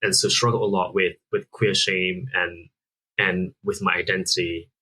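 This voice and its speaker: A man's voice: deep voice, flat delivery, moderate pace, sharp tone, slightly rough, rough recording. A man in his thirties from Singapore, a founder answering interview questions earnestly, explaining at length with frequent restarts. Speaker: app founder guest.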